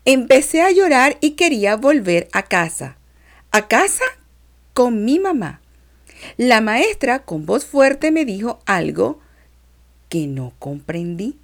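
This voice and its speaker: A woman reading a children's lesson as a six-year-old girl who tells her dream in a slow, expressive sing-song. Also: fairly high pitch, heavily acted. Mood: frightened.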